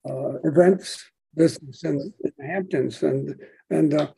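A male interviewer with a very dark, mellow tone and a gravelly, husky texture. He asks his questions haltingly, pausing often with "um.". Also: fairly high pitch. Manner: hesitant, halting questions with many "um"s. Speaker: podcast host, interviewer.

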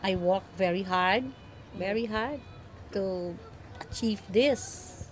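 Guyanese woman, slow and emphatic. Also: medium pitch, faint music behind. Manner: emphatic, repeats phrases. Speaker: shop owner interviewed.

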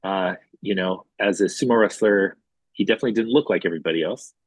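Relaxed, husky-voiced man telling his story. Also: low voice, fast, no room sound. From Hawaii. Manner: relaxed, chatty, with fillers and restarts. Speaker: guest, restaurant executive. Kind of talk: conversational storytelling.

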